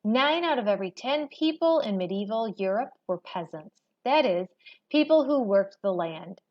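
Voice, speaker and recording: A woman reading a history textbook aloud as a teacher, in a measured, expository manner. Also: mid-range voice, lively intonation. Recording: dull, lo-fi recording.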